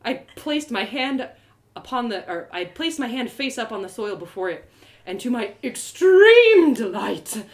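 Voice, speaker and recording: A woman reading a first-person story aloud with expressive, playful, dramatic delivery. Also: sits high. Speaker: author reading a story live.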